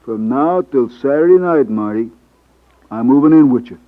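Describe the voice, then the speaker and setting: A man's voice: medium pitch, animated, close to an everyday voice. An actor playing a jeering, slangy hoodlum henchman with menacing good cheer in a 1950s radio drama. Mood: taunting, jovial menace. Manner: slangy, taunting, drawn-out. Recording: tinny band-limited 1950s radio recording.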